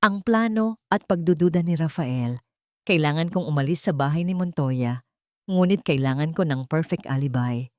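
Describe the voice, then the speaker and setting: Filipino woman narrator for audio drama: lowish pitch, dry intimate recording, scripted first-person storytelling. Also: expressive, normal speed, warm tone, no echo.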